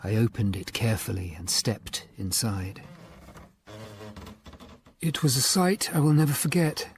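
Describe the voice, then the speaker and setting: A man acting as a grave, observant Tudor lawyer, narrating his investigation in a measured way. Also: medium pitch, big pitch swings, slight background noise. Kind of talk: scripted first-person drama narration.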